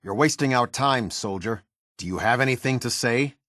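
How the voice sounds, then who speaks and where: An American man playing a stern, formal military officer in a video game, speaking with husky, clipped, measured authority. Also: mid-range voice, fairly bright tone, no echo, clean studio sound, natural voice. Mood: stern, commanding. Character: stern, formal male military officer of a post-apocalyptic order. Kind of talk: video game character dialogue.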